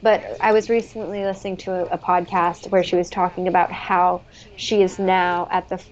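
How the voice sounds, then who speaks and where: A woman's voice: lowish voice, level tone, normal speed, lo-fi sound. A young adult woman talking earnestly in a casual conversation and filling her speech with "like". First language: English. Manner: earnest, frequent "like" fillers.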